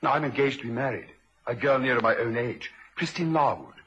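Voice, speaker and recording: A man playing an earnest, polite and anxious young man in a vintage radio drama, speaking in a halting, emotive way. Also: mid-range voice, expressive, fairly bright tone, highs cut off, lightly acted. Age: young adult.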